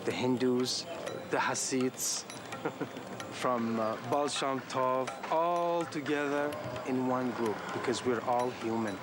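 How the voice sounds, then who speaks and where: A middle-aged man giving earnest testimony in an interview. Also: medium pitch. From Iran. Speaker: interviewee, follower of a guru. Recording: noisy outdoor location, traffic.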